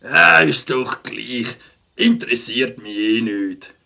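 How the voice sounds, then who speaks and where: A gravelly, forceful grump of a bar owner from a children's audio play, acted by a man. He is gruff and dismissive, drags his words out, and has a Swiss accent. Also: mid-range voice, animated, takes it slowly, full character voice. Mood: sullen, dismissive. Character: grumpy, rude male animal bar owner, sullen.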